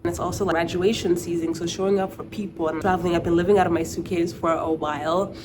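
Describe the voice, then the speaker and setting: A young woman from Nigeria talks through her recent life in a casual vlog. Her voice sits a bit low, and she wanders thoughtfully with frequent "like"s. Clear background noise runs under the track. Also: fairly even intonation, quick pace. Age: young adult. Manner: chatty, reflective, peppered with "like". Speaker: vlogger. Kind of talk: casual talking-head vlog.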